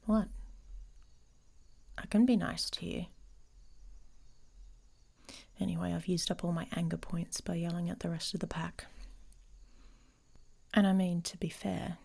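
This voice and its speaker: A woman playing a grumpy, guarded female werewolf in an intimate ASMR roleplay, speaking in a halting, breathy, often whispered murmur. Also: fairly low pitch, darkish tone, heavily acted. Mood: guarded, teasing.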